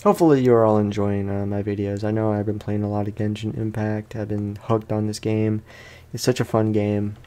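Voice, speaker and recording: A man's voice: on the low side, little pitch movement, a little fast, warm tone. A man giving casual let's play commentary on a video game, chatting to viewers in slangy language. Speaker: gaming YouTuber.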